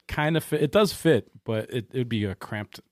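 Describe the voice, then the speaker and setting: A man co-hosting a podcast in casual chat, easygoing and agreeable with animated exclamations. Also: mid-range voice, moderate pace, no room sound, clean studio sound. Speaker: podcast co-host.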